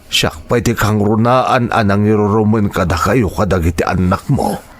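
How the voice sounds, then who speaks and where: A man playing an ailing, remorseful old uncle in a family audio drama, with a husky, weary voice and a halting, ashamed manner. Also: lowish voice, steady tone, natural voice. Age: older.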